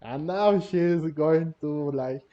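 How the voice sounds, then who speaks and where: Guy doing casual gameplay commentary, muffled lo-fi mic, giggly n halting, mellow tone. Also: on the high side. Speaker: gaming video host. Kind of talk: casual game playthrough commentary. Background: faint game music.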